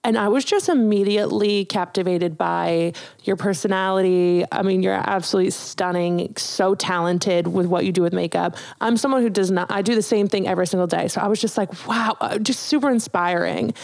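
A woman's voice: mid-range voice, a little fast, creaky, few pauses, a little mellow, dry recording. A young woman co-hosting an interview, enthusiastic and effusive in her praise. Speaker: podcast co-host. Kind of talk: casual interview chat.

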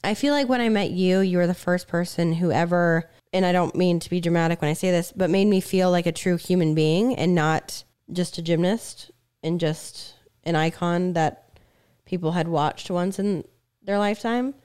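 A woman co-hosting a podcast, talking candidly and reflectively with frequent restarts, her voice slightly raspy. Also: on the low side, fairly even intonation, darkish tone. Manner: reflective, speaks in halting restarts. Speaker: podcast co-host. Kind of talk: candid conversation.

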